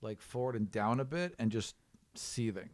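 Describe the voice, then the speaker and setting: A man's voice: medium pitch, expressive, normal speed, no echo, studio quality. A man acting as game master, improvising narration and answering players in a tabletop role-playing game.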